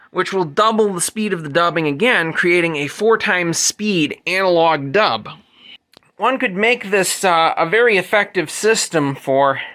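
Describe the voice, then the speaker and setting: A man's voice: high voice, sharp tone. A man giving an unscripted, methodical technical explanation as a presenter, going into detail and correcting himself as he goes. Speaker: presenter demonstrating vintage audio gear.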